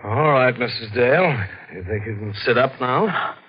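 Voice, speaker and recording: A man's voice: mid-range voice, lively intonation, normal speed, natural voice. A man playing a skeptical, weary police detective in a 1940s radio drama, firm and exasperated. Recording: dull vintage radio recording.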